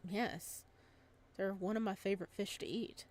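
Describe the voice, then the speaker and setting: A woman voicing a shy, gruff young male fisherman from a visual novel, reserved and hesitant in manner. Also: mid-range voice, fairly even intonation, moderate pace, darkish tone, no room sound, close to an everyday voice. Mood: plain, helpful.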